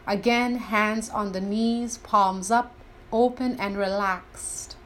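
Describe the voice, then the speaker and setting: Female meditation guide, very unhurried, reverent and instructional, scripted delivery, light ambient noise in the recording. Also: mid-range voice, fairly even intonation, a bit bright.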